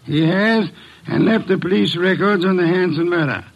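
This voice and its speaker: A man's voice: high voice, normal speed. An actor playing a pompous, dryly ironic gourmand detective boss, commanding his assistant in an old radio drama. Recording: dull lo-fi old radio recording.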